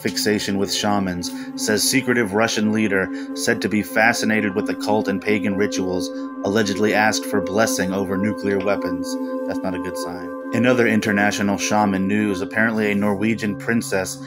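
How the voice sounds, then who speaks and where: A man's voice: lowish voice, flat delivery, moderate pace. A man hosting paranormal videos in a casual, matter-of-fact, conversational explainer style. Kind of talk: casual explainer commentary to camera. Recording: music playing behind the voice. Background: loud background music.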